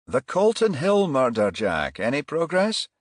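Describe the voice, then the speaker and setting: Man acting a brisk patrician senior police chief. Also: a little high, huge pitch swings, no echo, clean studio sound, pitched above the performer's own voice, heavily acted.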